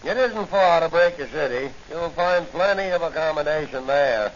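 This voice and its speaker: A man's voice: fairly high pitch, lively intonation, normal speed, close to an everyday voice. A man playing a smug lakeside hotel owner in a 1940s radio drama. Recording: noisy, dull 1940s radio recording.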